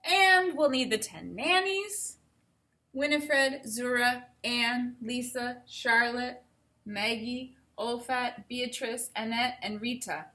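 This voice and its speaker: A woman's voice: a little high, takes it slowly, pushed and bright. A woman voicing a commanding royal grandmother princess in a children's storybook, giving orders. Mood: reckoning, decisive.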